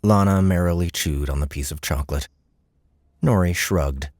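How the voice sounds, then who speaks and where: A man with a deep voice narrates fiction as an audiobook reader. The delivery is scripted storytelling, recorded very dry and close. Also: normal speed, a little mellow, dry recording.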